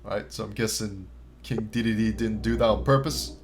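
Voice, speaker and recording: A man's voice: on the low side, normal speed. A man hosting a reaction video with casual, informal commentary, swearing and repeating phrases for emphasis.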